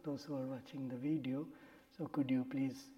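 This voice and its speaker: Mellow male interviewer in an echoey hall. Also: medium pitch, fairly even intonation, normal speed, muffled recording. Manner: frequent murmured acknowledgements. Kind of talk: casual interview chat.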